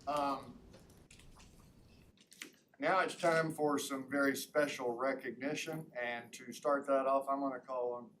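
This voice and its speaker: A man's voice: mid-range voice, a little slow, pauses often, a little hiss or hum. Distant man chairing a meeting. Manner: relaxed, with fillers. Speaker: board chair running the meeting. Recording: distant mic with clear clicks.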